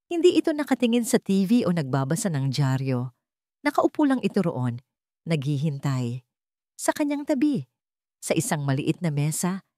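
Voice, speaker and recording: Low-voiced Filipino woman narrating suspense. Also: a little mellow, no echo, studio quality. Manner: suspenseful, measured storytelling. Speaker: audiobook narrator. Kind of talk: scripted dramatic story narration.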